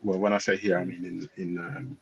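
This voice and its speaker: A man's voice: deep voice, level tone, brisk, creaky, dull audio, slight background noise. A man who grew up in England, a panel guest telling stories and checking in with his listeners. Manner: anecdotal, checks in with listeners, drops his voice then rises. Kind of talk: storytelling in a panel discussion.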